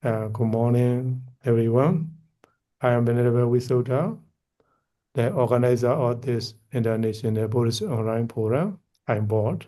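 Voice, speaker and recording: A man's voice: mid-range voice, normal speed, warm tone. A man from Myanmar, the forum organizer, introducing himself in short earnest phrases on a video call.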